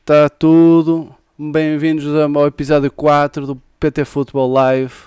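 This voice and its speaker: Chatty Portuguese host with a smooth voice. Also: male voice, fairly high pitch, monotone, moderate pace, darkish tone, dry recording. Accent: European Portuguese. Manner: chatty, laughs often, stumbles and restarts. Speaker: podcast host. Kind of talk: casual live interview.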